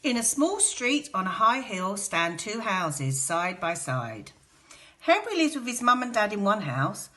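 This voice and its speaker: A woman's voice: mid-range voice, very animated, a little slow, sharp tone. A woman teacher reading a picture book aloud to young children, telling the story expressively and dramatically. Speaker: infant school teacher.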